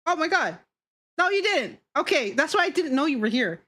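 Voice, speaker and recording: A woman with a fairly high voice streams casually, talking straight to her viewers. She is playful and teasing in how she speaks, with a giggly edge and plenty of swearing. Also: sing-song, moderate pace, fairly bright tone. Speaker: streamer, VTuber. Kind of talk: casual livestream chat with viewers while drawing.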